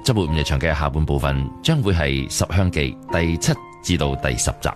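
A man's voice: very deep voice, a little fast. A man with a Hong Kong Cantonese accent presenting late-night radio continuity and weather. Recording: studio voice over music. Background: music.

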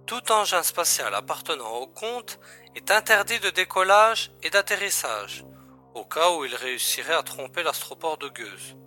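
A man playing a calm, matter-of-fact secret agent colleague in a science-fiction audio drama, explaining things in a familiar way. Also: a little high, moderate pace, faint music behind, exaggerated voice. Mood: resigned, calming.

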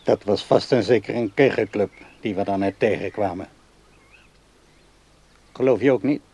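A man acting in a radio play as an insistent, needy old man who talks haltingly to a much younger woman. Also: fairly low pitch, warm tone, breathy edge. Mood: eager, chatty. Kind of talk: naturalistic radio play dialogue.

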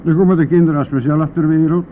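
An actor playing a boastful, brash and talkative bus driver in a radio play, with a blustery, colloquial manner. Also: male voice, a little high, fairly even intonation, fast talker, close to an everyday voice. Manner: blustery, colloquial, mildly swearing. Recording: narrow phone-like old radio recording, faint noise.

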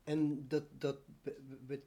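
A man's voice: fairly high pitch, monotone, unhurried, dark tone, a little hiss or hum. A man interviewing in a conversational way, often rephrasing what he asks.

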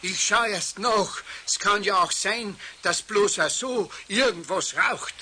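A brusque Bavarian caretaker, bright-voiced. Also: male voice, sits high, slow pace, full character voice. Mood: urgent, practical. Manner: gruff, colloquial, brusque. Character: gruff, scolding caretaker, then practical helper. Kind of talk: children's radio play acting. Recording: faintly hissy radio play.

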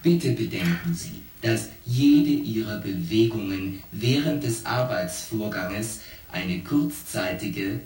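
A performer in a radio drama playing a formal, pedantic onboard AI computer co-pilot that makes measured announcements. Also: mid-range voice, lively intonation, darkish tone. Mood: flat, formal. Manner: formal, slow, equation-like announcements. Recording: echoey, distant, music behind.

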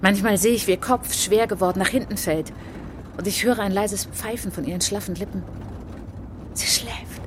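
An actress playing a reflective French woman traveller in a radio play monologue, speaking in a measured, intimate, literary way. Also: mid-range voice, noisy background, lightly acted. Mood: tender wonder.

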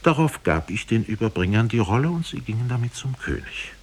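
A man's voice: medium pitch, animated, a little fast, slightly rough. A man narrating a fairy tale in solemn, dramatic 1950s radio style with formal diction.

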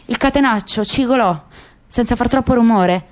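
A woman's voice: fairly high pitch, moderate pace. A woman gives a dramatic literary reading as narrator in a radio play. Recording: clear background noise with clicks.

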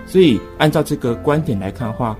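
Fast-talking Taiwanese man hosting radio. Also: mid-range voice, animated, a little mellow, faint music behind. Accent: Taiwanese Mandarin. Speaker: radio host. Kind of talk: conversational radio discussion.

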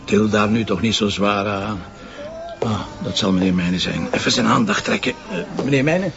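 Gravelly, jovial Flemish police commissioner. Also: male voice, fairly low pitch, moderate pace, muffled recording, over music. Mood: composed. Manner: bantering, colloquial. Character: jovial, cheeky police commissioner who enjoys food. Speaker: actor. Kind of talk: radio crime drama acting.

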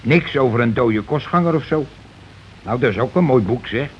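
A man's voice: medium pitch, lively intonation, normal speed, natural voice. A man acting in a radio play as a bossy, blustering working-class husband who argues colloquially and keeps demanding agreement. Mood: grumbling, searching. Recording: dull, noisy old radio recording.

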